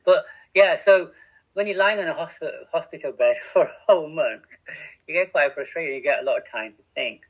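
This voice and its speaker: A man's voice: a little high, animated, moderate pace. Middle-aged man on a tinny line. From England. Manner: open and reflective, restarts often, laughs at himself. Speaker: podcast guest, radio community editor. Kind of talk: candid conversational interview.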